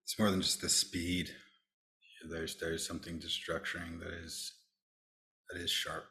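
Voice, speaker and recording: Male podcast host, low register, conversational, halting delivery that often restates phrases. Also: flat delivery, pushed and bright. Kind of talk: casual conversational discussion.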